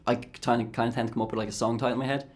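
A man's voice: medium pitch, level tone, racing, husky. A man speaking informally as a musician guest in a radio interview, explaining things in loose, casual phrases. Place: radio studio. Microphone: studio broadcast mic.

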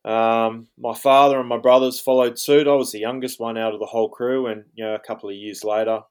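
A man's voice: mid-range voice, little pitch movement, a little fast, smooth. A man around forty with an Australian accent, talking at an easy pace as an interview guest, filling his sentences with "um" and "you know". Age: 40s. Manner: rambling, lots of fillers like um and you know. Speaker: guest, fly fishing guide. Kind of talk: relaxed interview talk.

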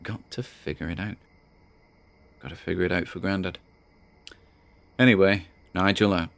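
A low-pitched British actor plays an obsessive amateur sleuth keeping an audio log. He is chatty and wry, often interrupting himself as he talks through his findings in this audio drama. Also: steady tone, normal speed, vocal fry, a bit bright. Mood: eager, obsessive. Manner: informal, self-interrupting, wry. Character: obsessive, chatty amateur investigator grandson named Nigel.

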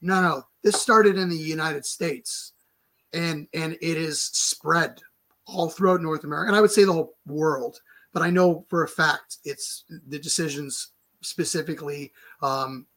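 A hoarse man, having lost his voice, explains a legal challenge fast and insistently on a video call, restarting words often. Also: fairly high pitch, a bit bright. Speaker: lawyer guest.

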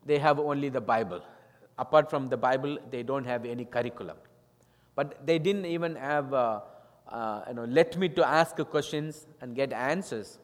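A man's voice: medium pitch, moderate pace. A man with an Indian accent, a native Tamil speaker, giving a testimony sermon as a guest pastor, telling his story earnestly in simple English with some non-native grammar. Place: church sanctuary. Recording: long reverb of a church hall.

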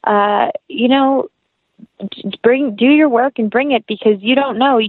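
A woman's voice: fairly high pitch, measured pace, no room sound, phone-quality sound. A young American woman, an actress chatting as an interview guest in an earnest, effusive, rambling way. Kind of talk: casual interview chat, anecdotal.

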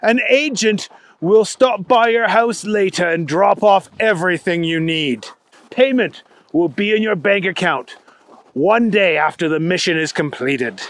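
A man's voice: high voice, measured pace, a bit bright, dry recording. A man voicing a courteous, secretive British spy chief with a formal manner in a children's story. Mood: pleased, businesslike. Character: important, polite, secretive British spymaster man.

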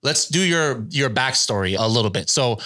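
A man's voice: mid-range voice, animated, moderate pace, sharp tone. A man hosting a podcast interview in a studio, upbeat and joking in casual conversation. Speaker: podcast host.

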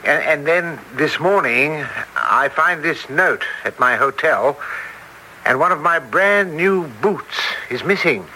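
An actor playing a brash, plain-spoken young heir, blunt and stubborn, in 1940s radio drama style. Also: male voice, a little high, expressive, slow pace, pushed and bright, full character voice. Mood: bewildered. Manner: blunt, forthright. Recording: noisy old radio broadcast recording.

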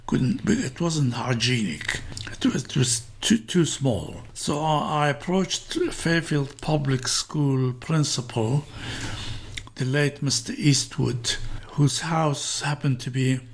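A man's voice: medium pitch, animated, slow pace, slightly rough. Older Iraqi-born man recalling the past. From northern Iraq. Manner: hesitant, repeats and restarts words. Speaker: interview guest. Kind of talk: reminiscing in an interview.

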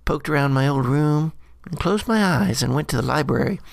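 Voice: mid-range voice, expressive, moderate pace, gravelly, no echo, clean studio sound. A performer voicing a scrappy, slangy adventurer who mutters and curses through a jittery diary monologue. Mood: cheeky, chatty. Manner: slangy, cursing, self-interrupting monologue. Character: scrappy slangy jittery adventurer Skeen recounting a bad day. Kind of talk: in-character audio diary monologue.

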